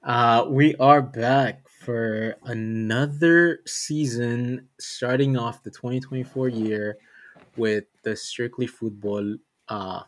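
A man hosting a casual sports podcast, talking in a relaxed way with lots of fillers and repeated words. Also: mid-range voice, slow. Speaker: podcast host.

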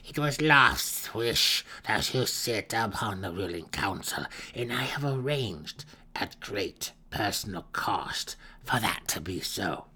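A man voicing a withered, commanding old dark elf matriarch in a fantasy audiobook, raspy and gravelly, with a slow, imperious, cold and wrathful delivery. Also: fairly low pitch, animated, heavily acted. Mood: enraged.

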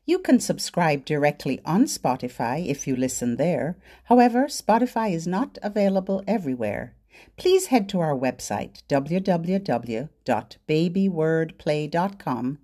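A mature woman hosts a podcast for babies and their parents. She speaks at an unhurried pace, and her pitch moves up and down in an animated, expressive way. Her delivery is scripted and gently instructional, pitched at a very young audience. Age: middle-aged or older. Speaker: children's podcast host. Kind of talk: scripted, child-directed narration.

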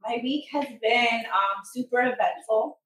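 A woman's voice: on the high side, measured pace, a bit bright, a little hiss or hum. A woman guest on a podcast, a little stuffy and nasal, chatting casually with many fillers. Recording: echoey room, mic at a distance.

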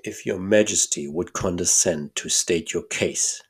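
A man voicing a languid, sharp-minded male detective who speaks dryly and calmly. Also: sits low, measured pace, husky, heavily acted. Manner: dry, calm, understated.

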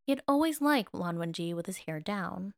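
A woman reading scripted fiction as narrator, in a measured, expressive manner. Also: lowish voice, dry recording, studio quality. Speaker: narrator, fan fiction reader.

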